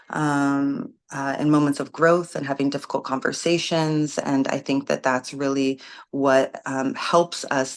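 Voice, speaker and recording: Woman guest, community organizer; unhurried, reflective, filler-heavy delivery. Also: extremely low pitch. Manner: thoughtful, many ums and restarts. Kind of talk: casual interview conversation.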